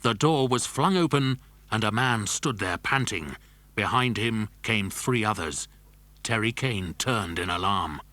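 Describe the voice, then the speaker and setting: A man's voice: on the low side, lively intonation, measured pace, sharp tone, slight background noise. A man narrating a scripted children's adventure story. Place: dry studio.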